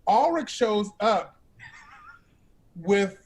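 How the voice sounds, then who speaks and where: A man's voice: sits high, lively intonation, extremely slow. A man hosting a casual conversation, informal and emphatic, teasing as he addresses the listeners. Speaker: host.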